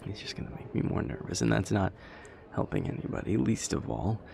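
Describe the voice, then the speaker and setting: A man's voice: deep voice, very animated, a little fast, vocal fry, mellow, a little hiss or hum, exaggerated voice. A man playing an anxious, self-deprecating vampire boyfriend in an intimate roleplay audio, stammering and rambling, often dropping to a murmur. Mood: embarrassed, sheepish. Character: nervous, self-deprecating immortal male vampire boyfriend, affectionate.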